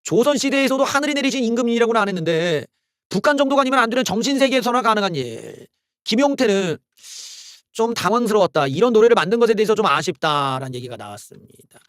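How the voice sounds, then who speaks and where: A man's voice: high-pitched, very animated, fast talker, a bit bright, dry recording, clean studio sound. A man hosting a solo political commentary channel, ranting in a heated, sarcastic way with exclamations and curses. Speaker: political YouTube host.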